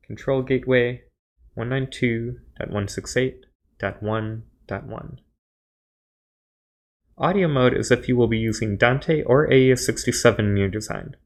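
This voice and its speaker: Man narrating a scripted tutorial. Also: medium pitch. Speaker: tutorial presenter.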